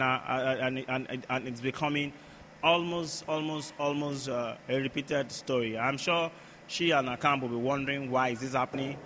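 A man giving conversational sports analysis as a podcast pundit, halting and repetitive as he searches for words. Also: mid-range voice, fairly bright tone, slight background noise.

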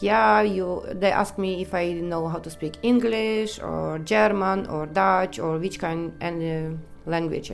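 A woman from Ukraine with a Ukrainian accent, telling her own story in halting, broken English with many pauses. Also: fairly low pitch, gravelly. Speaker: interviewee. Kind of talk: personal testimony in non-native English.

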